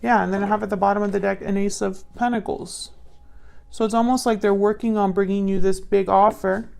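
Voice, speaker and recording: Woman, mid-pitched, gravelly vocal fry, casual tarot reading, musing pauses, thinking aloud. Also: little pitch movement, a little mellow. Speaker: tarot reader. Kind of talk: casual intuitive card reading to viewers.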